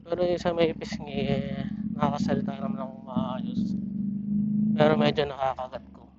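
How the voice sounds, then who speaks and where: A man's voice: medium pitch, little pitch movement, normal speed. A man with a Filipino accent chatting casually and in a rambling way as he rides a motorcycle, talking into a helmet mic. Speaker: motovlogger. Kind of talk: casual rambling chat while riding. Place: outdoors on a moving motorcycle, inside a helmet. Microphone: helmet intercom mic. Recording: muffled helmet mic, loud motorcycle noise. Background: loud motorcycle engine and road noise.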